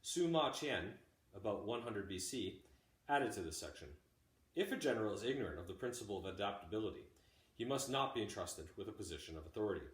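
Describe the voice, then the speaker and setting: Guy with a lowish voice reading an old book out loud to students, measured, kinda echoey room. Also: lively intonation. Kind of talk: audiobook-style read-aloud of a classic text. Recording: roomy, echoey home recording.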